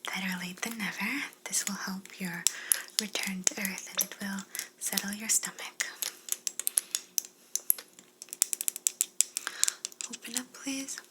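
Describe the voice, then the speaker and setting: A woman playing a polite, soothing female alien abductor in a whispered ASMR roleplay, gently reassuring and clinical in manner. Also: mid-range voice, normal speed, heavy background noise, lightly acted. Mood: soothing, attentive. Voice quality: breathy unvoiced whisper.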